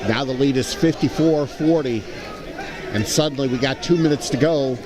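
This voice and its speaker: A man's voice: medium pitch, normal speed. A man giving live basketball commentary and analysis as part of a broadcast team. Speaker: sports commentator, game analyst. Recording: noisy gym with audible crowd. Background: crowd and gym noise.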